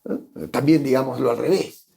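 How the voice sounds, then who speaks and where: A man's voice: mid-range voice, moderate pace, vocal fry, mellow. A man from Argentina with an Argentine accent, speaking as a guest economist in a conversational interview, thoughtful and often repeating words while he searches for the phrase. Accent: Argentine Spanish.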